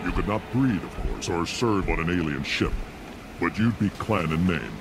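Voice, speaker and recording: A man playing a disdainful, calculating alien clan leader in a video game, with a deep, gravelly voice and a condescending manner. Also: lively intonation, normal speed, background noise. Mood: cold, scheming. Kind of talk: dramatic video game acting.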